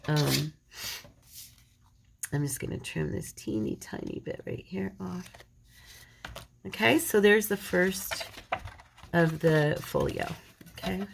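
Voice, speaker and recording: Low gravelly woman with a slight cold. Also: takes it slowly, pauses often, slight background noise. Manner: chatty, self-deprecating, talks while working. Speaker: paper crafter. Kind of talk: casual crafting tutorial.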